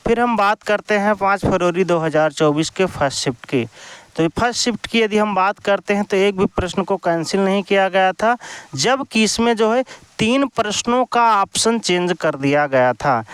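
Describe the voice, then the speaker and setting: A man's voice: high voice, fairly bright tone, clean tone, dry recording. A man giving an informal explainer to viewers, going step by step with repetitive phrasing. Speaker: exam news YouTuber.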